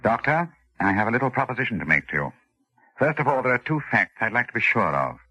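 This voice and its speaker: An actor playing a calculating doctor with formal, clinical diction in an old radio drama. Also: male voice, medium pitch, sing-song, fast talker, sharp tone, slightly rough, dry recording, full character voice, poor audio. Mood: smooth, persuasive. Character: cool, calculating male doctor recounting his murder experiment. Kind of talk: 1940s radio acting, dramatic first-person narration.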